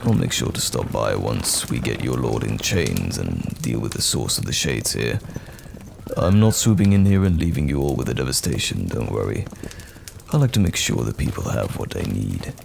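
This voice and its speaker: A man playing a gruff but kindly knight general in a fantasy audio roleplay, speaking in hearty, archaic soldierly phrases with a gravelly voice. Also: sing-song, moderate pace, noisy background, heavily acted. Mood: earnest, protective. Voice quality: very deep, gravelly.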